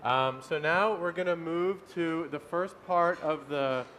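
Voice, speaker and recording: Upbeat male workshop moderator; a touch high-pitched, faint room noise behind. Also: a bit bright. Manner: upbeat, polls the room with quick asides. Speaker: workshop facilitator. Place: conference room.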